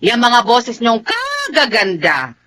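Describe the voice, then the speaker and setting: A stern, weary Filipino mother in a radio drama. Her voice is bright and forceful, with a scolding edge. Faint noise sits behind the recording. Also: on the high side, huge pitch swings, slow pace, exaggerated voice. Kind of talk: radio-drama style dialogue.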